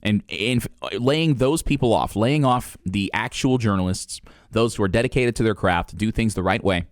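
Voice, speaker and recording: Emphatic low-voiced man, sports talk host. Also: moderate pace, no room sound, clean studio sound. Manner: emphatic, argumentative, repeats phrases for weight. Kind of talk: opinionated sports talk monologue.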